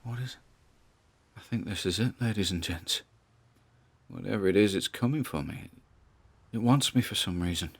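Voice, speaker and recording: A man's voice: fairly low pitch, normal speed, long pauses, slightly rough. A man playing a nervous, wry grandson who records audio logs, in a conversational, musing audio drama monologue.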